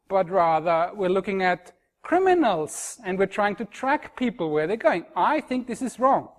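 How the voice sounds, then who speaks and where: A middle-aged man from Austria with an Austrian accent, giving a frank, wry conference talk. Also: high voice. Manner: frank, wry, self-deprecating. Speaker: conference speaker.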